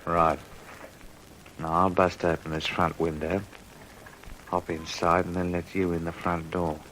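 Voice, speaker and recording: An actor playing a hard, domineering armed burglar with an Australian accent in an old radio crime drama, curt and menacing. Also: male voice, deep voice, level tone, measured pace, a bit bright, a little hiss or hum, rough recording. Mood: bossy. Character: hard, bossy armed burglar running the job.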